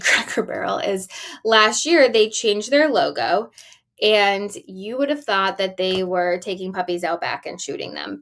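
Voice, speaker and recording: A woman in her forties with a middle-pitched voice chats as a podcast co-host. She tells business news like a casual story, tossing in rhetorical questions and emphatic asides. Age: 40s.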